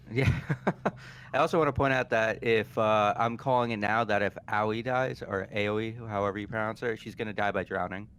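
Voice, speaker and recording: A man's voice: on the low side, moderate pace, a bit bright, clear, resonant tone. A man in casual gaming chat, talking in a wry, blunt way.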